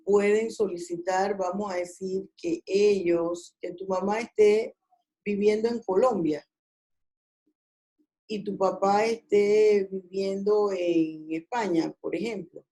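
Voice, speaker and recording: Slow-paced woman teaching law. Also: medium pitch, fairly even intonation, mellow, low-quality recording. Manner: didactic, questioning students. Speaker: law professor. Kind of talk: online class discussion. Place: video call.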